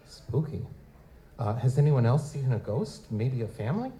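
A man's voice: mid-range voice, sing-song, moderate pace, mellow, lightly acted. A man playing a wry, streetwise goblin-like private investigator in a noir audio drama, speaking in casual slang. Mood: probing, dry. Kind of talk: live audio drama dialogue and first-person narration. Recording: noticeable background noise.

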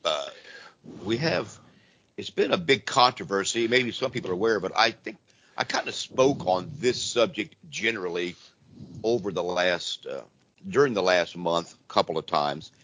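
A hoarse man with laryngitis hosts a live call-in radio show, talking in a casual, rambling way with frequent restarts. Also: low voice, normal speed, a bit bright. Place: church. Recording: dull lo-fi radio audio with faint noise.

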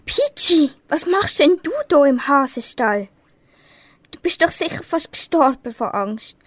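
Girl acting a high-voiced, tender pet owner. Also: lively intonation, measured pace, no room sound. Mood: worried, comforting. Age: young teenager. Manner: tender, coaxing. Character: caring girl who looks after pets. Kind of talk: amateur radio play acting.

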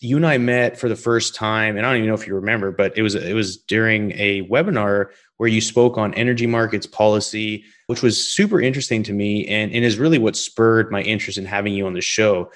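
A man from Canada hosting a podcast, chatting in a friendly, relaxed way with frequent restarts. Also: on the low side, a little fast, few pauses. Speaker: podcast host. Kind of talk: casual interview chat.

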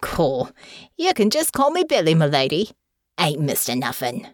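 A woman voicing a humble young male airship crewman with a soft, homey rural drawl and folksy grammar. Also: low voice, wildly swooping pitch, moderate pace, no echo, clean studio sound. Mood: friendly. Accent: rural country dialect. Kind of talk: audiobook character voice.

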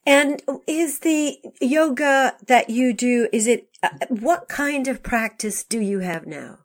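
A woman's voice: fairly high pitch, takes it slowly. A woman hosting an interview, asking questions and giving frequent short encouraging responses. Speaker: interviewer host.

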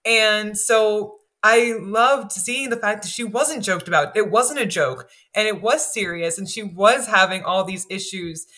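A woman with a lower voice chatting casually on a podcast, animated and full of "like" fillers. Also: unhurried, hardly any pauses.

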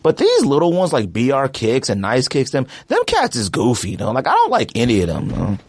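A man hosting a sneaker podcast in casual chat, slangy and animated, tossing in "huh?" as he goes. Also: medium pitch, fairly quick, breathy edge, no echo. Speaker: podcast host.

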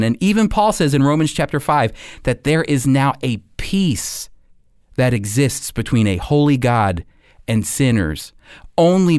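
A male preacher delivers a prepared teaching sermon with deliberate, emphatic phrasing. His voice sits at an ordinary high-to-middle male pitch, recorded dry and close with no room sound. He often turns to the listener with rhetorical questions. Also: darkish tone, dry recording.

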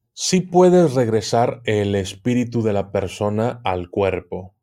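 A man with a Mexican accent speaking as an interview guest, explaining things conversationally and haltingly, often repeating words. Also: on the low side, dark tone. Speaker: podcast guest, occultism expert.

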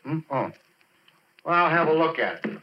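A nervous oil-field worker played by a man in a 1940s radio play. His voice sits fairly high and swings widely in pitch, with hesitant, homespun phrasing. The recording is old and muffled. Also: expressive, normal speed, lightly acted. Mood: uneasy, puzzled. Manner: hesitant, colloquial. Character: skinny, jittery oil-field man scared of the dark. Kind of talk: 1940s radio drama acting.

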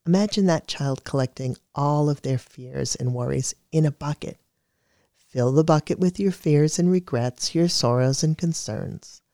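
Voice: medium pitch, no echo. Dry, close guided meditation narrator. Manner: calm, measured, soothing delivery.

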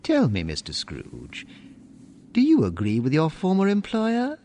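A man's voice: on the high side, wildly swooping pitch, a little slow, very noisy. An actor playing an ambitious, persuasive young businessman, formal and insinuating, in a radio drama. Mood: calculating, persuasive. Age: young adult. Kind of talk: family radio drama acting.

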